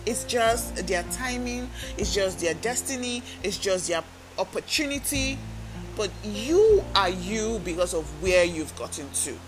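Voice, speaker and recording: A woman's voice: medium pitch, expressive, unhurried, music in the background, poor audio. A woman hosting a self-help podcast, talking earnestly and coaching listeners with direct questions. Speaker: podcast host. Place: talking alone into a microphone.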